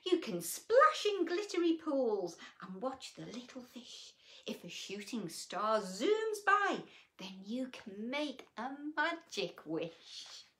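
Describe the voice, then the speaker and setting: A woman reading a children's picture book aloud as a story host, expressively, with hushed asides and questions to her young listeners. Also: a little high, sing-song, slow.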